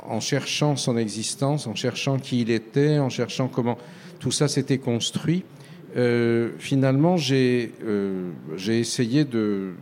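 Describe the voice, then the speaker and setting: An older man from France, a writer answering interview questions in a halting, reflective way with frequent hesitations. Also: medium pitch, darkish tone, a little hiss or hum. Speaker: author guest. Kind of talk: radio interview.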